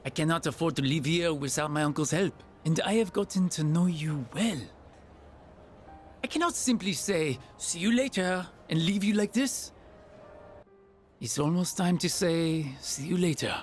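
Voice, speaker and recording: An earnest young French exchange student in a game. His high voice has a French accent and careful, polite phrasing, with soft music behind. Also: expressive, measured pace. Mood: earnest, sad. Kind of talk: video game voice acting.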